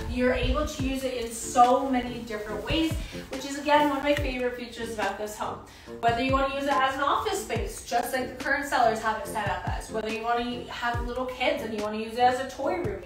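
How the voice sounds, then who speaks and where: A woman working as a real estate agent, leading an upbeat walkthrough of a house and repeating words for emphasis. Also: a little high. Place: inside a house, walking room to room. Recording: roomy, from a distance, music behind.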